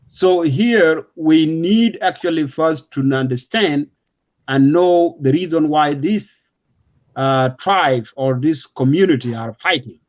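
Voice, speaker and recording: Male political commentator, South Sudanese accent, high-set voice, emphatic and repetitive explainer, muffled low-fidelity recording. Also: slow, a little mellow. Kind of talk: unscripted explanatory political discussion. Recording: dull, lo-fi audio.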